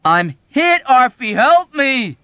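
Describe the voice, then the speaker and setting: A man voicing a wounded, panicking male airman who shouts and pleads, close to tears. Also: very high-pitched, sing-song, takes it slowly, clear, resonant tone, no echo, lo-fi sound, voice pushed up, exaggerated voice. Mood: panicked.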